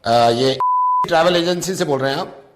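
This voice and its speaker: A man's voice: fairly high pitch, normal speed, noisy background. A man making a casual phone enquiry, polite and hesitant in his phrasing. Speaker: prank caller. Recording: echoey, roomy sound.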